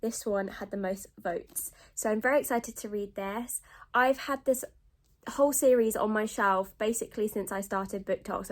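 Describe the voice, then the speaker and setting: A British woman chatting casually in a rambling book vlog, groggy and sniffly with a cold. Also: mid-range voice. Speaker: book vlogger.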